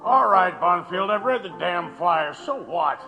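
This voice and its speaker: A man's voice: high voice, lively intonation, unhurried, bright, forceful tone, faint music behind, exaggerated voice. A man playing a brusque, exasperated big-city mayor in an audio drama, curt, sarcastic and commanding. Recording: long echoing hall reverb.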